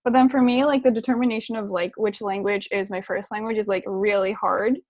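A woman's voice: mid-range voice, steady tone, a little fast, a little mellow, no room sound. A young woman chatting casually as a podcast co-host, a native speaker of both Japanese and English, who peppers her informal talk with "like". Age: young adult. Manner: informal, frequent "like". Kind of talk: casual chat.

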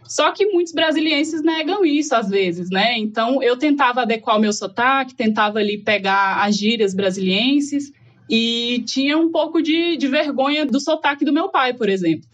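A woman's voice: high voice, animated, moderate pace. A woman from Goiás, Brazil, with a Goiás accent, telling her story in a casual, reflective conversation full of fillers. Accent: Goiás Brazilian Portuguese. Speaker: guest. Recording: dull lo-fi audio.

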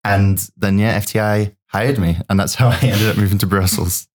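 Low-voiced man from the UK telling stories. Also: steady tone, moderate pace. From United Kingdom. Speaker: podcast guest. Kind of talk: casual storytelling.

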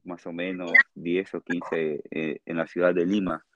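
A man with a Peruvian accent answering interview questions conversationally as a guest, explaining in a halting way and often checking with a short tag question. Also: low voice, little pitch movement, muffled recording, heavy background noise. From Peru. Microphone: remote call.

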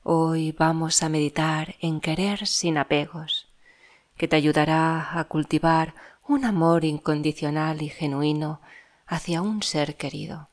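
Woman, meditation guide, very unhurried delivery, soothing measured phrasing, long pauses, close dry recording. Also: low-pitched, fairly even intonation, dry recording.

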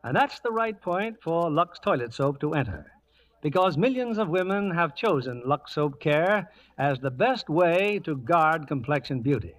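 A man's voice: fairly high pitch, expressive, measured pace. A man presenting a 1940s radio theater program as host, reading a script with formal announcer phrasing. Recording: vintage 1940s radio broadcast.

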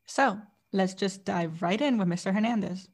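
Woman reading a scripted podcast intro. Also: medium pitch. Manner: reading a prepared script, measured. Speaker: podcast co-host.

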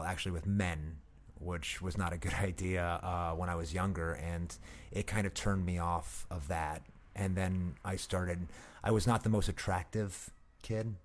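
Guest comic, 30s, very deep voice, casual and halting. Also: male voice. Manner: halting, many restarts and fillers. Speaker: guest comedian. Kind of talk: casual interview chat.

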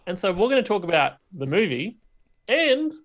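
A man co-hosts a podcast in loose, casual conversation. His voice sits a little higher than most men's, and he talks as if chatting with a friend. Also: sing-song, normal speed. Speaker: podcast co-host. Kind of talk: casual chat.

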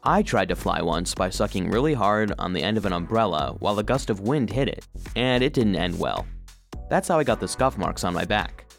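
A self-deprecating little household robot tells his own story for young listeners. His voice sits fairly low, with a gloomy, wry storytelling manner. Soft music runs underneath. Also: lively intonation, a little fast, vocal fry. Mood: glum, wry. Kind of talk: children's audio drama narration.

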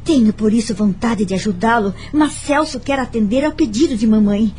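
Actress as high-voiced, earnest forgiving woman. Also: normal speed, mellow, a little hiss or hum, natural voice. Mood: reflective. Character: Cecília, forgiving, reflective woman recounting her family story. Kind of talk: radio drama acting with narration.

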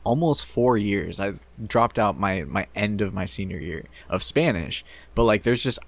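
A man co-hosting a podcast, full of slang and quick, enthusiastic reactions. His voice sits slightly low. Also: lively intonation. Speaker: podcast co-host. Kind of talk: casual chat.

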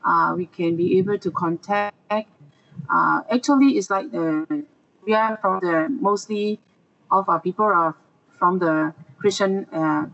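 A speaker from Myanmar, a refugee teacher, answering interview questions hesitantly while searching for words. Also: male voice, high voice, a little slow, gravelly, fairly bright tone, lo-fi sound.

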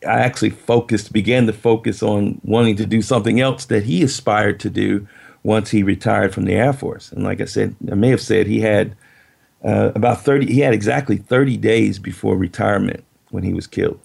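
Gravelly, husky middle-aged man, reflective. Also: fairly low pitch, fairly even intonation, a little mellow. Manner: reflective, halting, many restarts. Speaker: guest. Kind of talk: casual interview chat.

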